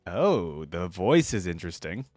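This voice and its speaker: A man's voice: low-pitched, big pitch swings, measured pace, darkish tone, no echo, soft background music. A man hosting a reaction video, giving casual, informal commentary as he thinks aloud.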